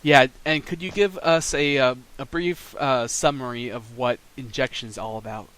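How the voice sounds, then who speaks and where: A man's voice: mid-range voice, fairly even intonation, normal speed, a bit bright. A man hosting a podcast, asking his guest questions as an eager fan. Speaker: podcast host. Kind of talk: casual podcast interview.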